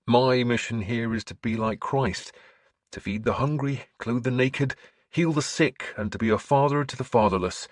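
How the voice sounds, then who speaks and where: A man voicing a gentle, earnest scholarly bishop in an audiobook, with mild manners and a preacher's fervour. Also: medium pitch, moderate pace, no room sound, clean studio sound, voice pushed up, close to an everyday voice. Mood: gentle, sincere. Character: gentle, earnest scholarly priest turned bishop, quietly sly.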